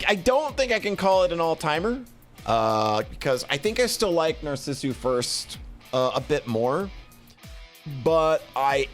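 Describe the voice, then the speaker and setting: A man streaming game reviews in a casual, rambling, expressive and effusive way, talking to a live chat and swearing casually. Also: on the high side.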